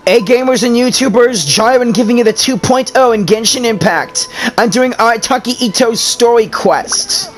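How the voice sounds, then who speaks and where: A man's voice: very high-pitched, moderate pace, faint music behind. A man who is a gaming YouTuber, doing casual gameplay commentary and reading dialogue aloud.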